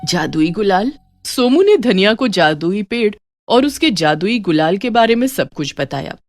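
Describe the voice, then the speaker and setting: Expressive woman storyteller, animated pitch. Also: normal speed, no room sound, clean studio sound. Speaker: narrator. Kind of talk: scripted children's story narration. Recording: faint music behind.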